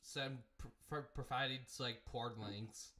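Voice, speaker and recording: A man's voice: medium pitch, a little slow, pushed and bright, slight background noise. A man of twenty in a casual voice chat, stammering and restarting his words. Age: 20s.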